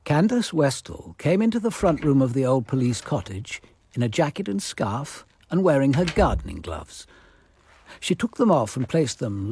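An actor playing a composed, probing police detective in a British radio drama, formal, precise and insistent. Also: male voice, fairly high pitch, creaky, slightly rough, heavily acted. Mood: measured, incisive.

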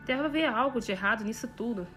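A woman's voice: on the high side, animated, fast, music in the background, lightly acted. A woman playing a skeptical, intense scientist in an audio drama, questioning insistently and earnestly. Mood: suspicious, probing. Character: skeptical, probing female scientist, troubled and intense.

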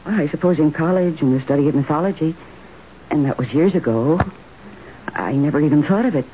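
An actress playing a defiant, dreamy middle-aged wife in an old-time radio drama. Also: female voice, low voice, a little fast, natural voice. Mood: dreamy, insistent. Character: defiant, dreamy wife, married fifteen years. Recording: hissy, muffled old radio broadcast.

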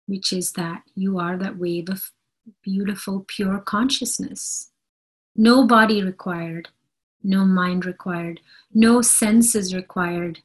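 Woman, spiritual teacher type. Breathy, husky tone, unhurried delivery, earnest and deliberate, leaning on repetition. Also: medium pitch, poor audio. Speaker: spiritual teacher giving a talk. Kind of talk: slow inspirational spiritual talk.

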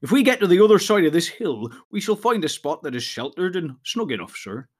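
A man's voice: fairly high pitch, sing-song, a little fast, voice pushed up. A man reading aloud, voicing a loyal, deferential male hobbit servant. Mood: eager. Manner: eager, deferential.

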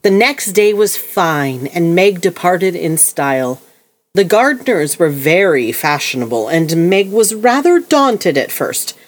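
A woman's voice: lowish voice, big pitch swings, slow. A woman narrating a period tale in an audio play, in a composed, scripted storybook style.

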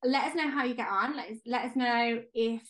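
A woman co-hosting a podcast in casual, enthusiastic chat, her voice going hoarse as she is losing it. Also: a little high, brisk, fairly bright tone. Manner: enthusiastic, chatty. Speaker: podcast co-host.

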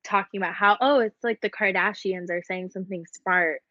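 A woman's voice: mid-range voice, brisk, pushed and bright, dry recording, highs cut off. A young woman co-hosting a podcast in casual chat, rambling and filling her talk with "like". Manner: chatty, rambling, heavy use of "like". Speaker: podcast co-host.

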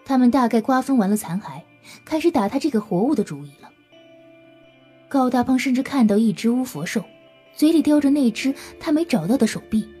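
A woman's voice: a little high, animated, a little fast, mellow, breathy edge, soft background music. A woman narrating a scripted audio drama as a storyteller, in a dramatic style.

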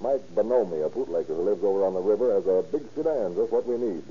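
An actor playing a bullying, hard-boiled gangster who gives slangy orders in 1930s radio crime drama style. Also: male voice, lowish voice, lively intonation, fast, slightly rough. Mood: businesslike. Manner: slangy, commanding, tough-guy talk. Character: bullying, menacing male gangster, hard-boiled gang boss. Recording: muffled old-time radio recording.

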